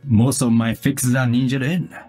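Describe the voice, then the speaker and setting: A man performs a cyborg ninja from a video game, calm and solemn. His voice is husky and mid-pitched, every phrase measured and earnest with a dry deadpan edge. Music plays behind him. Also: close to an everyday voice. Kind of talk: video game character voice lines.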